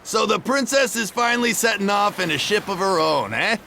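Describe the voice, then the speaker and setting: Gravelly seasoned sea dog. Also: male voice, high-pitched, lively intonation, moderate pace, sharp tone, slight background noise, exaggerated voice. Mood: gruff advising. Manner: folksy, clipped dialect speech. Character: gruff seasoned male Norman seafarer, plain-spoken elder. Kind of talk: video game voice acting.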